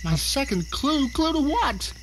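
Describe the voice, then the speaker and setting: A man acts out a captive male novelist in an audio drama, recounting his ordeal in a theatrical, exclamatory way. He sounds panicked and indignant. The track is clearly noisy, with insect-like chirping behind the voice. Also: very high voice, sing-song, a little slow, full character voice. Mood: frantic, pleading. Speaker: narrator and lead actor. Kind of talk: dramatic first-person audio drama narration.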